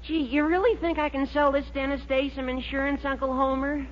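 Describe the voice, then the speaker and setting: Actor playing shy gullible young nephew, high gee-whiz voice, old radio sitcom, muffled with a bit of hiss. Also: little pitch movement, a little fast, close to an everyday voice. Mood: doubtful. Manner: hesitant, gee-whiz delivery. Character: timid, gullible young nephew selling insurance. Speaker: comic actor. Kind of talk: 1940s radio sitcom acting. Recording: muffled 1940s radio recording, faint noise.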